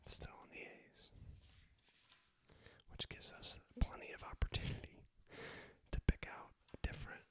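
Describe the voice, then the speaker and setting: A man whispering an informal, self-deprecating ASMR-style language lesson as the host, a native English speaker. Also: a little slow. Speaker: video host teaching Spanish basics.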